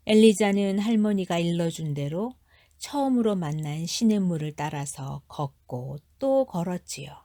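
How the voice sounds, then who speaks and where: Mellow, low-voiced woman storyteller. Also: no room sound, clean studio sound. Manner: expressive, careful reading. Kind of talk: children's fairy tale narration.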